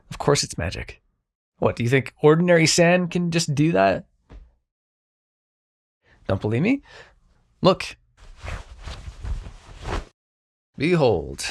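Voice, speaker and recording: Casting: male actor for grumbling, sardonic dream god, intimate audio drama; very animated intonation, heavy vocal fry, foul-mouthed. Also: medium pitch, full character voice. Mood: explanatory, proud. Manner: sarcastic, profane, sighing, addresses listener as mortal. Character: exasperated, sarcastic god of dreams, a grumbling Sandman. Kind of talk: intimate scripted roleplay audio drama monologue.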